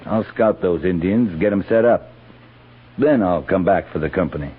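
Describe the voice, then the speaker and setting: A man's voice: low voice, moderate pace, slightly rough, phone-quality sound. An American man, middle-aged, playing a seasoned, stubborn cavalry captain with clipped, dry command, in 1950s radio western style. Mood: insistent. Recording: thin band-limited old radio recording.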